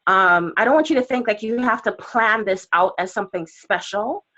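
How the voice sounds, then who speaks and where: Woman, Trinidadian accent, energetic coaching talk, lots of fillers, somewhat dull call audio. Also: mid-range voice, moderate pace. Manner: energetic, chatty, many fillers, acts out quotes. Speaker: guest trainer on a team webinar. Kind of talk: motivational sales coaching. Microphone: webinar call audio.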